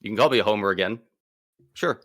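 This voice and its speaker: A man co-hosting a casual sports podcast, excitable and slangy, speaking with emphatic bursts. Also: lowish voice, fast, no room sound, studio quality. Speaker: podcast co-host.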